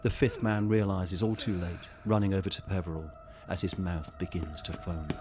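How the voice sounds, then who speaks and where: Deep-voiced male audio drama narrator. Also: fairly even intonation, moderate pace, over music.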